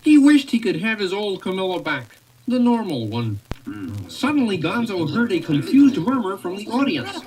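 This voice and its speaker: A woman reading a children's storybook aloud with expressive, animated narration. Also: mid-range voice, moderate pace, low-quality recording. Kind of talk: read-along story narration.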